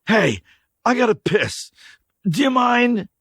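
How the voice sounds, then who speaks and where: A man's voice: very high-pitched, big pitch swings, slow, a bit bright, slightly rough, no room sound, clean studio sound, pitched above the performer's own voice, exaggerated voice. A man voicing a greasy, scared drifter who stammers and whines defensively. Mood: nervous pleading.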